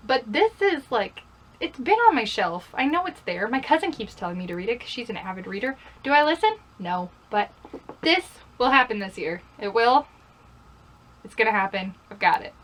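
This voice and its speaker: A woman's voice: a little high, big pitch swings, moderate pace, a bit bright, rough recording. A young woman chatting casually in a vlog style, playfully self-deprecating and often putting questions to herself. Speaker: book vlogger. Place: bedroom.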